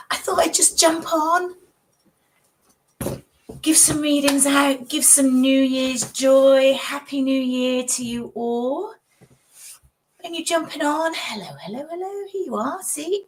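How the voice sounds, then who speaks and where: A woman who is husky from a cold, chatting casually with viewers as a psychic livestream host in her home office. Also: high-pitched, a little hiss or hum. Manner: chatty, warm greetings, frequent little laughs.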